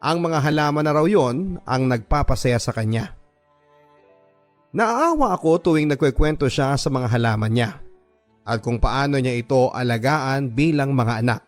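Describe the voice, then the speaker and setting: A Filipino man tells a dramatic first-person story in the style of a radio love drama. His mid-pitched voice is earnest and sentimental, with deliberate phrasing. Soft music plays behind him. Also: expressive. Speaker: radio drama narrator reading a letter-sender's story. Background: background music.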